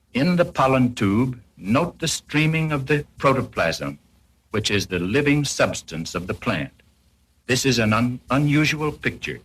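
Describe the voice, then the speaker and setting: A man narrating a 1940s educational film in a formal, didactic, carefully explanatory way, with a slightly rough, husky voice, on an old film soundtrack. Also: medium pitch, a bit bright.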